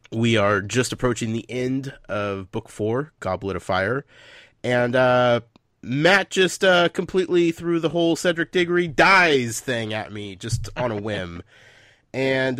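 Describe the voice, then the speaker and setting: A man's voice: medium pitch, fairly bright tone, no echo, clean studio sound. A man hosting a casual podcast chat, stuffed up from a head cold, rambling with lots of fillers. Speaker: podcast host.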